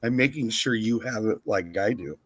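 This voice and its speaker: A man's voice: fairly low pitch, moderate pace, fairly bright tone, dry recording. A man co-hosting a casual live-stream chat over a video call, teasing and joking with the host.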